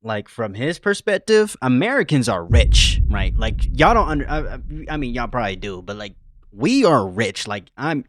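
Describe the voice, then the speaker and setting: A man's voice: mid-range voice, sing-song, moderate pace. A young man giving casual, rambling reaction commentary, full of slang and fillers. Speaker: reaction streamer.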